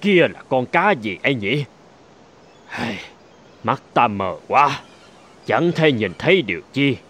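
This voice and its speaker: A man's voice: medium pitch, sing-song, slow, a bit bright. A man voicing an old, ailing, remorseful male sea hawk in a cartoon fable, weary and plaintive. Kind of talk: animated fable voice acting.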